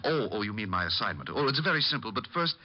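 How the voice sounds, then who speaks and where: An actor playing a fussy, nervous, secretive gentleman client in an old-time radio detective drama, stammering through prim, formal diction. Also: lowish voice, very animated, fast talker, heavily acted. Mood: flustered, secretive.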